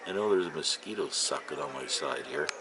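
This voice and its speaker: A man giving casual, rambling, unhurried vlog commentary, talking to himself and his viewers as an amateur hobbyist. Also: extremely low pitch, lively intonation, background noise. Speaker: amateur vlogger and ham radio hobbyist.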